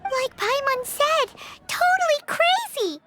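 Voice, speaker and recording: Squeaky, extremely high-pitched little fairy companion. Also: female voice, very animated, slow pace, heavily acted. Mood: excited, cheeky. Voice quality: squeaky, childlike. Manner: speaks of self in third person, exclamatory. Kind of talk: anime game voice acting. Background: music.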